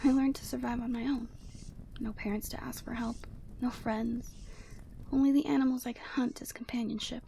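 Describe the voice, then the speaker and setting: A woman plays a lonely yeti woman with a fairly high voice, proud and motherly in an intimate ASMR roleplay. She is commanding but tender, often sinking into a breathy whisper. There is clear noise behind the voice. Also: fairly even intonation, moderate pace, warm tone, poor audio. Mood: sad, wistful. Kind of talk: ASMR roleplay, close and slow character acting.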